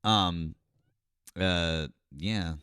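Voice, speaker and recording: Low-voiced man, casually mocking, dry sound. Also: steady tone, extremely slow, sharp tone, clear, resonant tone, no echo. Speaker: live show host. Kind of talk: casual commentary after a call.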